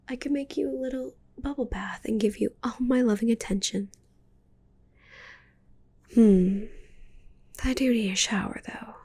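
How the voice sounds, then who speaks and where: A woman voicing a giant possessive witch girlfriend in an intimate ASMR roleplay, whispery and breathy, cooing and teasing with a menacing edge. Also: a little high, mellow, heavily acted.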